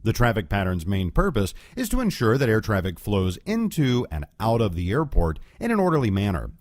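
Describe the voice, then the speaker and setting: A man reading scripted educational narration as an instructor, explaining in a clear, measured way. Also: lowish voice, huge pitch swings, no echo, clean studio sound.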